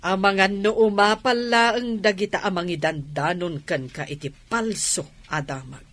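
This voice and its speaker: An actress in an Ilocano radio drama playing a gentle, trusting wife who stands up for a partner. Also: female voice, mid-range voice, steady tone, measured pace, lightly acted. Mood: defensive, hurt. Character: gentle, trusting wife defending her man.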